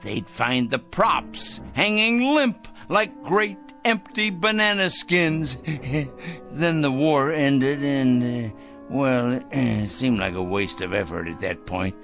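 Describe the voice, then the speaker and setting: An actor playing an eccentric, fanatical man obsessed with evil people, rambling and excitable in a vintage television drama. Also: medium pitch, takes it slowly, full character voice. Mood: musing, gleeful. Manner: rambling, excitable, chuckling, throat-clearing. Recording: old TV soundtrack with music underneath.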